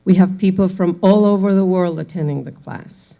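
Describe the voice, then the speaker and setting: A woman's voice: fairly low pitch, normal speed, vocal fry, warm tone. Argentine woman giving public comment. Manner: earnest, apologetic about her English. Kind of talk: prepared public comment speech.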